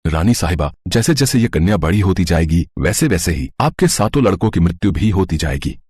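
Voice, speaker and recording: A man playing a grave royal priest who gives dark warnings in a deep, husky voice. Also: animated, brisk. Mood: ominous. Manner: solemn, ominous.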